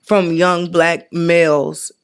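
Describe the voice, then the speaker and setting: A woman in her thirties, acting as guest host of a casual podcast chat, talking in an animated, slangy and emphatic way. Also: on the low side, slow pace, clear, resonant tone. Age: 30s. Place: indoor podcast set, drinking.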